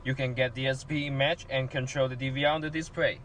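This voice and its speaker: Male product demonstrator, scripted narration, non-native phrasing, mid pitch, slight background noise. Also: steady tone, fast talker, a bit bright.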